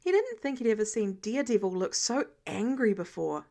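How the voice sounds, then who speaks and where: High-voiced woman narrating a story. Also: very animated, brisk, creaky, mellow. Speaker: fan fiction narrator.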